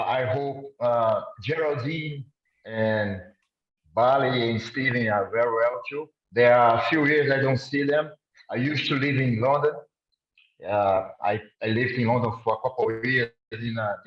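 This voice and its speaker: A man from Brazil with a Brazilian Portuguese accent, asking a question in a halting, hesitant way, with many fillers and restarts, over a video call. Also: medium pitch. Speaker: audience member asking a question. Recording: echoey muffled video call audio.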